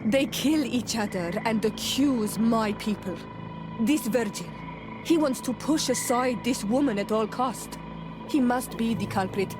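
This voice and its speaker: A woman's voice: on the high side, a little slow, natural voice, low-quality recording. Woman playing a proud, stern warrior. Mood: indignant, stern. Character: proud, blunt female native islander warrior. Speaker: game character voice actor. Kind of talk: dramatic video game acting. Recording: background music behind the voice.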